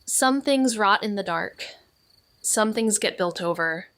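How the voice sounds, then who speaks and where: A woman playing a measured, brooding amateur sleuth in a noir audio drama, with a dry, understated delivery. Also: mid-range voice, animated. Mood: brooding narration.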